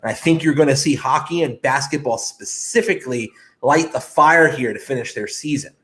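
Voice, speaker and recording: A man's voice: medium pitch, normal speed, a bit bright. A man co-hosting a casual sports talk show, giving blunt opinions in short, punchy phrases.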